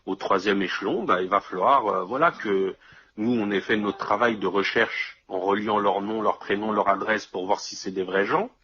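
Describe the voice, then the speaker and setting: A man's voice: fairly low pitch, steady tone, normal speed, dull audio. A man in casual conversation, explaining things step by step and often checking that his listener follows.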